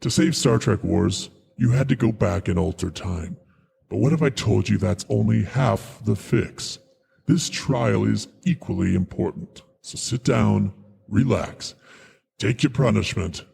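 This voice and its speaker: Man voicing a gravelly, condescending all-knowing schemer. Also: lowish voice, animated, a little mellow, heavily acted. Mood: condescending, coaxing. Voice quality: gravelly, husky. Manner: condescending, grandiose, measured. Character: all-knowing, scheming mysterious being, calmly coaxing. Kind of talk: radio drama acting. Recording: long cavernous reverb.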